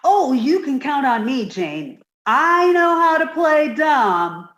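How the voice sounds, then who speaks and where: A woman's voice: sits high, very animated, slow pace, fairly bright tone, echoey room, full character voice. A woman playing a naive, dim-witted, earnest young roommate in a 1950s-style radio comedy. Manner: innocent, literal-minded.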